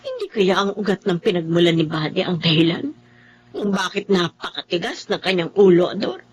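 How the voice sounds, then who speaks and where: An actress playing an earnest, regretful relative in a Filipino family drama, speaking deliberately and with a sighing manner. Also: female voice, fairly low pitch, very animated, a little hiss or hum. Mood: worried, regretful.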